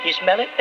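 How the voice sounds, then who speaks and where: An American actor playing a brash, ambitious, folksy candidate and doting husband in an old-time radio drama. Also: male voice, very high-pitched, exaggerated voice. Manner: folksy, boastful, eager, calls everyone honey. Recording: muffled vintage radio recording with music.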